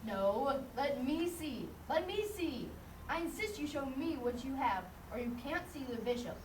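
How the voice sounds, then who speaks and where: A woman playing a pushy, excitable friar in a puppet show, exclaiming eagerly and insistently. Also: sits high, moderate pace. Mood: nosy, insistent. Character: pushy, excitable friar, bishop's nosy male doorkeeper. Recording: echoey, noisy, distant live recording.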